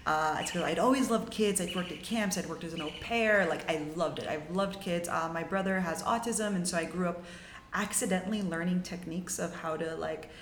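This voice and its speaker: A woman's voice: fairly low pitch, fairly quick, echoey room, a little hiss or hum. A young woman in her twenties from Mexico, telling her story as a podcast guest in a chatty, upbeat way full of "like"s and restarts. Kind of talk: casual storytelling.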